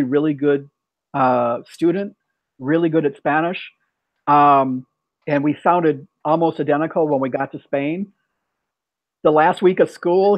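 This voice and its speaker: Lively man with a dry sound. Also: a little high, no room sound, muffled recording. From United States. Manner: animated storytelling with frequent restarts. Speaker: host of an English practice call. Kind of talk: casual chat over video call. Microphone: video call.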